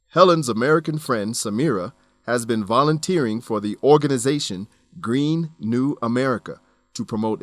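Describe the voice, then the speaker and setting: A man narrating a scripted educational radio drama as its announcer, in a clear and deliberate presenting manner. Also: mid-range voice, no echo.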